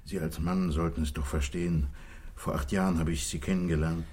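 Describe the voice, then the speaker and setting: Contrite man on the run: deep, mellow-dark register, earnest and pleading, 1960s radio drama. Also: flat delivery, brisk, slightly rough. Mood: anguished, confessing. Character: contrite, desperate wealthy fugitive confessing, husband and father. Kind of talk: 1960s German radio play acting.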